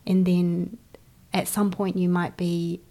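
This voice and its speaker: A woman guest in an interview, speaking hesitantly with many pauses and fillers in a soft, breathy way. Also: lowish voice, flat delivery. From China. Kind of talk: casual interview conversation.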